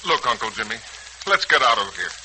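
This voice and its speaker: Casting: headstrong young fellow, very tense sharp voice, faintly hissy vintage serial recording. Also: male voice, on the low side, sing-song, a little fast, exaggerated voice, low-quality recording. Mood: defiant. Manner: forceful, stubborn. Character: defiant, exasperated young man facing prison. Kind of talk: 1940s radio acting. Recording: hissy old radio recording.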